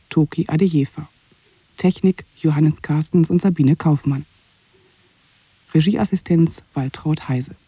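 Very soft, dark-toned male radio announcer. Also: on the high side, slightly rough, no echo, poor audio. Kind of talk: scripted credits announcement.

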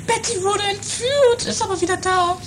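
Haughty rich mother, piercingly high voice, melodramatic and money-minded, for trash radio comedy with clear background noise. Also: normal speed. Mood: whiny, distraught. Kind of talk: improvised 1980s trash comedy radio play. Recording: noisy lo-fi 1980s amateur radio recording.

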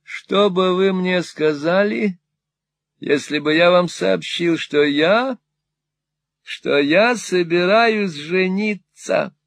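A cackling, fawning old moneylender. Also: male voice, sits high, slow pace, creaky, a bit bright, no echo, voice pushed up, exaggerated voice. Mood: gushing. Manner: wheedling, gushing exclamations. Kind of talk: audiobook reading.

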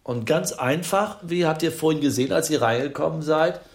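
An actor playing a plain-minded, defensive husband in an improvised radio comedy, talking in halting, colloquial bursts. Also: male voice, a little high, natural voice. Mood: halting, muddled. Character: plain-minded husband who finds life simple, defensive.

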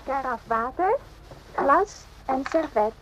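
An actress playing a reserved, dutiful young nurse in a radio drama, speaking in terse, broken phrases. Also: female voice, high-pitched, animated, slow pace. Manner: clipped, broken, foreign-sounding phrasing. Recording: faintly noisy old radio recording.

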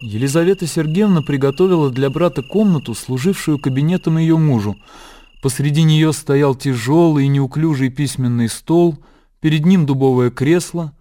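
A man narrating a literary radio drama in a measured storytelling manner. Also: on the high side, warm tone.

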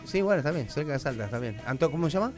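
Chatty radio host. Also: male voice, fairly high pitch, lively intonation, rapid-fire, over music. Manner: chatty, jokey, full of slang. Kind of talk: casual radio interview.

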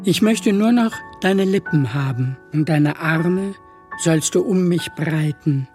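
A woman reciting poetry with measured, lyrical phrasing. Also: low voice. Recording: music bed behind voice. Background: music.

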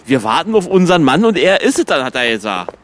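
A man's voice: a little high, very animated, fast talker, fairly bright tone. A man playing a sceptical, matter-of-fact pub owner in a radio play, with a dry, questioning, colloquial manner on a noisy recording. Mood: doubtful. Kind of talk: loose radio play dialogue.

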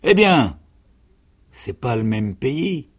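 A blunt revolutionary sergeant, played by a man reading a novel aloud, barks and presses his questions. The voice is pushed high and loud, with a husky, gravelly edge. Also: big pitch swings, normal speed, heavily acted. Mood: indignant, insistent. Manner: barking, insistent questioning. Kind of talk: dramatic literary reading.

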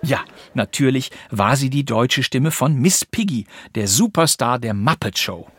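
A man's voice: medium pitch, animated, a little slow, a bit bright, breathy edge. A man hosting a podcast with scripted but conversational narration, recorded close in a studio. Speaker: podcast host. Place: dry studio.

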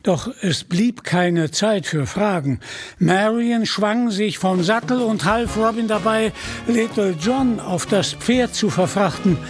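A male narrator reading a dramatic story from a script. His voice is high for a man and husky, and he takes his time with the telling. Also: slow pace, faint music behind.

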